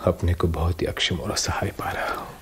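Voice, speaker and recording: An actor playing a weary, self-doubting writer in a radio drama, husky and breathy, brooding and hesitant. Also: male voice, low-pitched, steady tone, fairly quick, a bit bright, heavily acted. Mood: weary, troubled. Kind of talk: Hindi radio drama acting.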